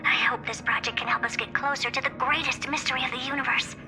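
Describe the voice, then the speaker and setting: A woman's voice: high voice, fairly even intonation, quick pace, lightly acted. A woman voicing a proud, eccentric, youthful female genius scientist in a video game, self-assured and theatrical, delivering scripted monologues. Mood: smug, reflective. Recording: music behind the voice. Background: music.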